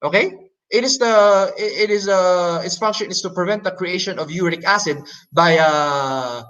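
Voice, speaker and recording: A man whose first language is Hokkien Chinese, giving a presentation in English with many fillers, restarts and pauses. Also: sits high, fairly bright tone, highs cut off. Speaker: debate presenter. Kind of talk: halting explanatory presentation.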